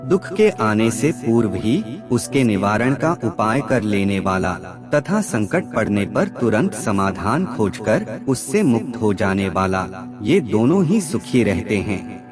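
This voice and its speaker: A man reading scripted maxims as a narrator, in a formal, measured and deliberate way. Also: lowish voice, sing-song, over music.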